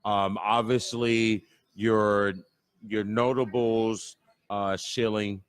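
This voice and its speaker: A man's voice: fairly low pitch, flat delivery, very slow, clean tone, dry recording. Chatty middle-aged Midwestern man, dry sound. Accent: American Midwest. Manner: jokey, rambling, with many fillers. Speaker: sports talk show co-host. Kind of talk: casual live sports chat. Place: bar patio.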